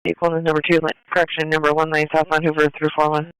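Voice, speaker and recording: Fast, very clear male police dispatcher. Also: a little high, completely flat delivery, a bit bright, no room sound, poor audio. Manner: clipped, procedural, repeating updates. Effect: police radio. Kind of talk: police dispatch relay of pursuit updates.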